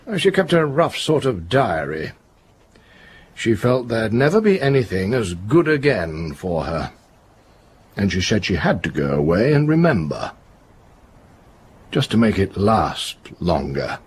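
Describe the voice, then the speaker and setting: Police inspector, radio drama. Probing, authoritative, low and very varied in pitch. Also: male voice, very animated, slight background noise. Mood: grave, measured. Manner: deliberate, authoritative questioning. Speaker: actor in an audio drama. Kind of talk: period radio drama acting.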